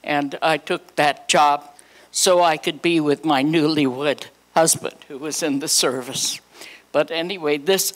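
A woman, reminiscing in a halting, rambling way into a handheld microphone before an audience. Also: sits low. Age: 90s. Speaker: guest speaker sharing memories. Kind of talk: spontaneous reminiscence. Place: hotel conference room, indoors. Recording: audience noise in conference room.

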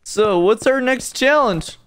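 A man's voice: extremely high pitch, lively intonation, slow pace, a bit bright, exaggerated voice. A man voicing a cocky but friendly young stick-figure cook in an amateur cartoon dub, casual and boyish.

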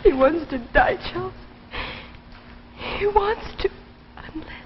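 A woman's voice: very high voice, lively intonation, a little slow, slight background noise. An actress in her twenties playing an anguished, devoted daughter in 1950s television drama, with pleading, melodramatic delivery. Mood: distraught. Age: 20s. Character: anguished, devoted young daughter of failing inventor. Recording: muffled old TV soundtrack, faint music.

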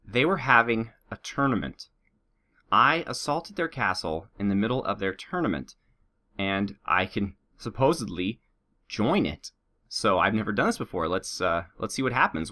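A man doing joking gameplay commentary, slightly sick with a bit of a nasal sound and a sore throat. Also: lowish voice. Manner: animated, joking, talks to his troops and viewers. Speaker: let's play host.

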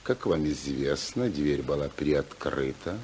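An actor playing a smug, coldly calculating villain in a 1950s radio drama, measured and insinuating, on an old recording. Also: male voice, rumbling low, animated, a little mellow, a little hiss or hum, full character voice.

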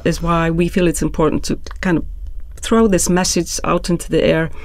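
A woman's voice: on the low side, fairly even intonation, normal speed, a little mellow, no echo. An older woman from Iceland, hosting a podcast in a small studio on a desk mic, chatty with lots of fillers and laughs. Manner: chatty, laughs often, many fillers and restarts. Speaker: podcast host. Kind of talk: casual podcast conversation. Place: small studio room, indoors. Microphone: desk microphone on a stand.

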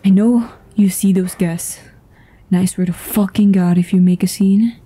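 A woman's voice: medium pitch, steady tone, normal speed, dark tone, natural voice. A woman voice actor playing a tense, foul-mouthed, commanding woman with a secret in a dramatic audio roleplay, urgent and swearing. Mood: cold, threatening. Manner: swearing, urgent, breathy sighs and stammers. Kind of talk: dramatic ASMR roleplay acting.

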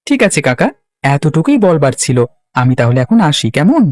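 A man's voice: a little high, sing-song, normal speed, dry recording. A man voicing an earnest, polite young village lad in a cartoon, helpful and concerned. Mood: earnest, planning. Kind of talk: Bangla cartoon voice acting.

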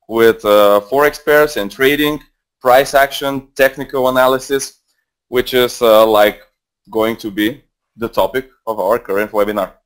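Bulgarian man in his twenties, rambling. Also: mid-range voice. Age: 20s. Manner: casual, rambling with many fillers and restarts. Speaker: trading mentor. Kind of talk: live webinar presentation. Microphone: headset.

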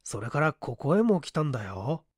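Audiobook role: informal male office boss, acted by a man, highish and expressive. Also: normal speed, slightly rough, no echo. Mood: questioning. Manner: familiar, questioning. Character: casual, puzzled male office section chief.

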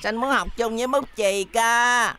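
A woman voicing a timid, slow-witted, childish blue schoolboy in a children's cartoon, whiny and naive with drawn-out words. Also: on the high side, steady tone, smooth. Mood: whiny, scared. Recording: loud music under voice.

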